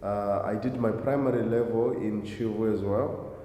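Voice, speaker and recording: A man's voice: on the low side, steady tone, normal speed, warm tone. A middle-aged man from Zimbabwe answering interview questions about his life, hesitant, with many fillers and restarts. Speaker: guest, driving school owner. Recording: echoey hall, faint noise.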